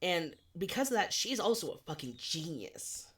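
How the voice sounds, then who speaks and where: A woman in her twenties co-hosting a podcast, chatting casually with animated, swearing asides. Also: lowish voice, normal speed. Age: 20s. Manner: animated, swearing, self-deprecating. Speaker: podcast co-host. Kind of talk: casual chat.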